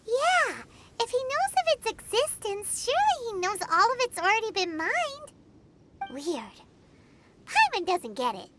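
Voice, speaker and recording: A woman voicing a small, childlike, chirpy and blunt fairy companion in an anime-style video game, with a squeaky voice. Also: sing-song, unhurried, background noise, full character voice. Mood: puzzled, suspicious. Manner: speaks of herself in third person, exclamatory.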